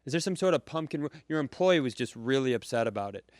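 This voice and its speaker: An American man co-hosting a comedy podcast, telling anecdotes casually in an animated, exasperated, sweary way. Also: mid-range voice, fast talker, no echo, clean studio sound. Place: live at a comedy club.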